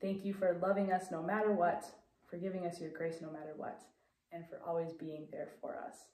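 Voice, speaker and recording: A woman's voice: on the low side, little pitch movement, a little fast, mellow. Middle-aged woman in an echoey room. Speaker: children's message presenter. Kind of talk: scripted scripture reading and prayer. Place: small room indoors. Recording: echoey room, distant mic.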